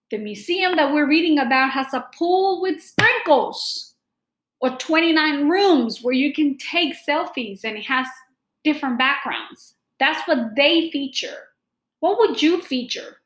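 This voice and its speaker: A middle-aged woman reading aloud to students as a teacher, explaining deliberately and addressing them directly in a room at home. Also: sits high, fairly bright tone, reverberant. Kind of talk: read-aloud lesson with simple explanations. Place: small room at home, indoors. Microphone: laptop or webcam microphone at desk distance.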